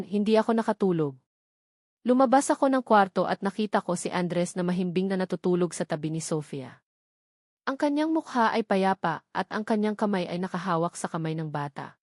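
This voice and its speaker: A woman's voice: medium pitch, a little mellow, no room sound. Dry, close-sounding woman narrating a romance drama. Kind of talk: first-person scripted dramatic narration.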